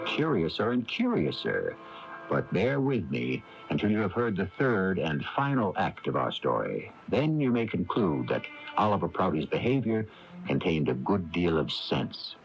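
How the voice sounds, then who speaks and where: A man narrates a mystery as its radio host, in a low voice with sweeping, animated intonation. His delivery is measured and wry. The tape sounds dull, with faint music underneath. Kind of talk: dramatic scripted mystery narration.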